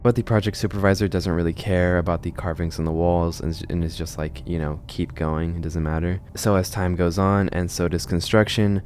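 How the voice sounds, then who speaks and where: A man's voice: sits low, level tone, brisk, few pauses, darkish tone, slight background noise. A man hosting a video essay on a horror series, explaining it in a conversational way. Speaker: video host, narrator.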